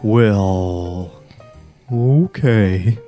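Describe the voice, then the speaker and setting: A man voicing a slow, dim-witted old cartoon turtle in a comedic table read, drawling his words out. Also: lowish voice, mellow, soft background music, voice pushed down. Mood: dopey.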